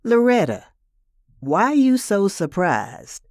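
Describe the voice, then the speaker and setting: A woman's voice: medium pitch, sing-song, a little mellow, dry recording, studio quality, pitched above the performer's own voice. A woman playing a stern, knowing older mother in an audio drama, speaking plainly and firmly. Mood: pointed. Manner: measured, plainspoken, firm.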